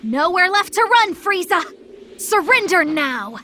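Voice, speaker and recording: A woman's voice: very high-pitched, very animated, measured pace, bright, forceful tone, slight background noise, exaggerated voice. A woman voicing a bossy, spirited small female deity who commands a squad in an anime game, with cheeky yet earnest authority. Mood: commanding. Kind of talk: English anime game dub acting.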